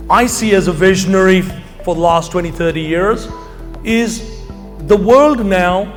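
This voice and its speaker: A middle-aged man from Kenya giving an informal, emphatic pitch to an audience as a company founder. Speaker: company founder and keynote speaker. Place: large hall, speaking to an audience. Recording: long hall reverb, music behind. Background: music.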